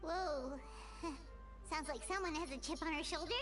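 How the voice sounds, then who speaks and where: Woman as a squeaky, tiny childlike game companion. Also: normal speed, over music, close to an everyday voice. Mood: cheeky. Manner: inquisitive, blunt. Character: small childlike floating companion, curious and cheeky. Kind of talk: video game voice acting.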